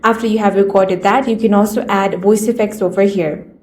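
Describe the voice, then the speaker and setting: A woman's voice: mid-range voice, fairly even intonation, a little fast, a little mellow. A woman giving scripted how-to narration in a plain, step-by-step instructional way. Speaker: tutorial narrator.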